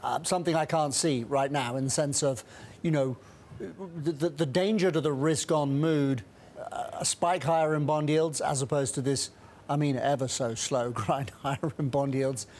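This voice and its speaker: A middle-aged man speaking as a guest strategist in a TV studio interview on a lapel mic, brisk, with frequent restarts and fillers. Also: fairly high pitch, steady tone, darkish tone, a little hiss or hum.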